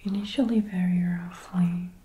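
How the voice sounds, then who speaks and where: A young adult woman speaking softly, mostly whispering, as a soothing, gentle school nurse in an ASMR role play. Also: on the low side, steady tone. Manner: soothing, gentle, slow and deliberate. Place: small decorated indoor room. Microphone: close binaural microphone. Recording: roomy binaural recording with clicking handling noise.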